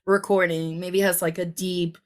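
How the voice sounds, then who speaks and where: Lowish-voiced woman cohost, rambly casual chat, lots of um and like. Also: flat delivery, moderate pace. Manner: rambling, lots of ums and likes. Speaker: podcast co-host.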